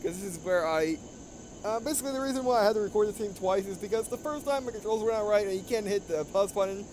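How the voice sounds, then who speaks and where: A man giving casual gameplay commentary, halting and often stumbling over and repeating his phrases. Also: high-pitched, darkish tone, a little hiss or hum. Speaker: gaming video host. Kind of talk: casual gameplay commentary with read-aloud dialogue.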